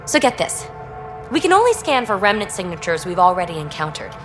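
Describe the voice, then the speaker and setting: A woman playing an excitable, informal, geeky young scientist in a video game. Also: fairly low pitch, fairly quick, faint music behind. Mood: eager. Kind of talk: video game dialogue acting.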